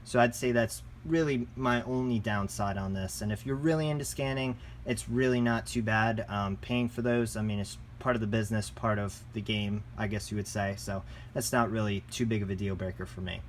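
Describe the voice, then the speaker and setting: A man's voice: lowish voice, fairly even intonation, normal speed, few pauses, a little hiss or hum. A man giving a casual, unscripted product review as a shop presenter, in a conversational, enthusiast way.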